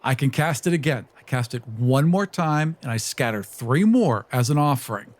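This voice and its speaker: A man's voice: medium pitch, expressive, moderate pace. A man hosting a podcast, casually narrating as a tabletop roleplaying player.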